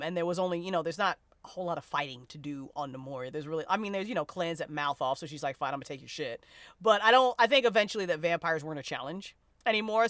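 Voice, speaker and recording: A woman's voice: fairly low pitch, fairly bright tone, no echo. A woman hosting a casual live chat as a podcast writer, gushing and repeating her phrases. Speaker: host and writer of a fiction podcast.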